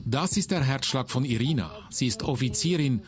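Male documentary narrator, mid-pitched. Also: animated, moderate pace, very noisy. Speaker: narrator of an audio report.

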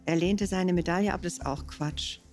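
A woman competing on a quiz show, trading quick, giggly guesses with her teammate. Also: fairly low pitch, darkish tone, soft background music. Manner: quick, giggly back-and-forth. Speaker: quiz contestant. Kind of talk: casual chat while guessing answers.

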